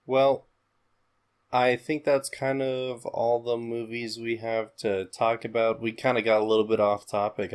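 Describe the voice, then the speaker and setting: A man with a fairly low voice chats casually as a podcast co-host. His delivery is informal and conversational. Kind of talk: casual pop culture podcast chat.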